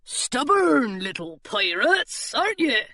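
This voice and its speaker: A woman voicing a burly, bored male pirate guarding a door, drawling in slow, old-fashioned pirate talk. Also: sits high, big pitch swings, bright, forceful tone, no echo, studio quality, pitched above the performer's own voice, exaggerated voice. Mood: gruff.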